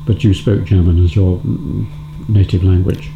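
A man interviewing, asking gentle, probing questions. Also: deep voice, fairly even intonation, normal speed, vocal fry, echoey room, highs cut off, background noise. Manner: gentle, probing questions with soft backchannel murmurs.

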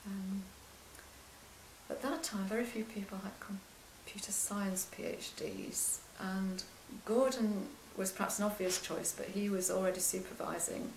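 Quiet-voiced older woman, gravelly and hesitant. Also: mid-range voice, steady tone, measured pace, reverberant, a little hiss or hum, low-quality recording. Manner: soft-spoken, hesitant, many ums and restarts. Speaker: computer science lecturer interviewed about her career. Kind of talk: oral history interview.

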